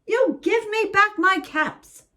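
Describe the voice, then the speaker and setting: A woman's voice: very high-pitched, slow pace, pushed and bright, voice pushed up, exaggerated voice. A woman voicing an angry male cap peddler, scolding in a raised, insistent voice.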